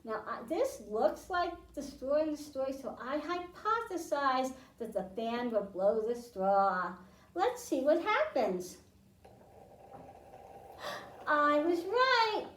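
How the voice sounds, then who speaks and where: A woman librarian hosting a children's storytime and science lesson in a library, speaking slowly and clearly and asking the kids questions. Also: high-pitched, sing-song, a bit bright. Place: library room, indoors. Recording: echoey room, mic at a distance.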